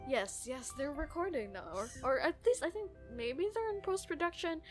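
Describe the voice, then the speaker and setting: A woman chatting casually as a podcast co-host in an excitable, dramatic way. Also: high-pitched, moderate pace, faint music behind. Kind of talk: casual chat.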